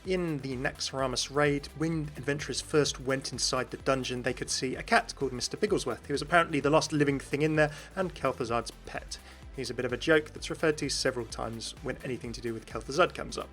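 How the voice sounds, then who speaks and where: A man's voice: mid-range voice, a little fast, a bit bright, soft background music. A man hosting a gaming video, explaining lore and references in an informative, enthusiastic commentary.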